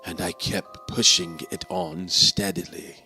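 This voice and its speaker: An unhinged, cunning male murderer, voiced by a man narrates gothic horror as a dramatic reading. His delivery is slow and theatrical, with deliberate pauses and hushed drops. The voice is tense and sharp, with faint music behind it. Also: on the low side, big pitch swings, vocal fry, full character voice. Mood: suppressed triumph.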